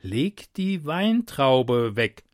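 Man doing a high mocking court jester. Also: sing-song, measured pace. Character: bossy scrawny male court jester.

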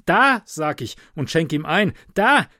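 A man with a light Palatine German accent voicing an excitable old village innkeeper, alarmed and fussing. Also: takes it slowly, dry recording, studio quality, exaggerated voice. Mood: urging. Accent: Palatine German, softened by speech training. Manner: high, exclaiming, anxious.

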